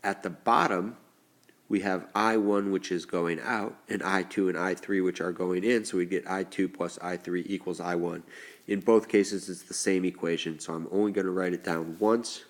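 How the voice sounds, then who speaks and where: A male teacher with a stuffed-up, congested voice, slowly explaining a lesson step by step as he writes. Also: low voice, fairly even intonation. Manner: slow, deliberate explaining while writing. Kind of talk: recorded classroom lesson.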